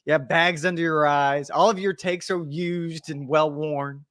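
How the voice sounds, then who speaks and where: A man co-hosting a golf podcast, teasing his partner and talking through his points with animated banter. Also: on the high side, unhurried, no room sound. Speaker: podcast co-host. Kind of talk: casual sports podcast chat.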